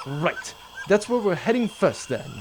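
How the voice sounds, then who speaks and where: A man playing a cocky, childish starship captain who gives brisk, flippant orders in a comic sci-fi audio drama. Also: high voice, sing-song, noisy background. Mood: commanding, mocking.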